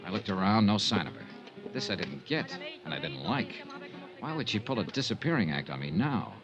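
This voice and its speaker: Low-voiced, wry radio detective. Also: male voice, very animated, brisk, music in the background. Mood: wry narration. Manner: dry, wisecracking, clipped hard-boiled delivery. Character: wry, tough, self-assured male freelance insurance investigator. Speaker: lead actor and first-person narrator. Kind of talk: 1950s radio detective drama acting. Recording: dull, noisy vintage radio recording.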